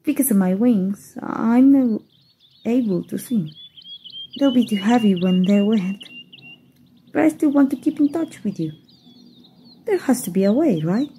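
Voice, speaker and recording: A woman's voice: on the high side, lively intonation, a little slow, pauses often, mellow, a little hiss or hum. A woman voice actor voicing a playful, flustered young fairy in an ASMR roleplay, giggling and stammering shyly.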